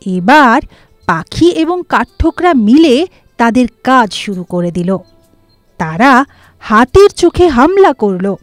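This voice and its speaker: A woman narrating a children's cartoon moral tale in an expressive storybook manner. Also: a little high, dry recording. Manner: slow, expressive storybook reading.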